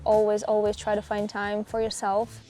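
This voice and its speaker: A woman's voice: medium pitch, monotone, moderate pace, mellow, soft background music. A young woman from Russia speaking English, answering interview questions outdoors in a reflective, casual way. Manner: reflective, with small restarts. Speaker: pro pickleball player, guest. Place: outdoors on a hiking trail.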